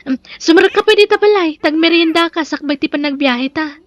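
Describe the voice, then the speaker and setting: A woman's voice: very high-pitched, a little fast, no room sound, dull audio. A woman playing a busy, unmarried businesswoman and younger sister in an Ilocano radio drama. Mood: light, polite.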